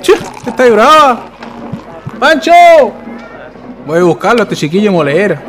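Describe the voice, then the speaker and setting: A man's voice: high voice, big pitch swings, measured pace, bright, forceful tone, exaggerated voice. A man playing a henpecked, easygoing but complaining husband with a Chilean accent in a radio play. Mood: exasperated. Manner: exclaiming, complaining. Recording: music and crowd behind. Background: outdoor fair crowd and music.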